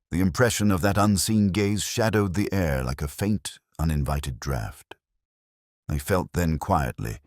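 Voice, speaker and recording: A man playing a brooding, observant police inspector, narrating his case in a measured, reflective, literary way. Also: deep voice, no room sound, clean studio sound. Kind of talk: scripted first-person detective story narration.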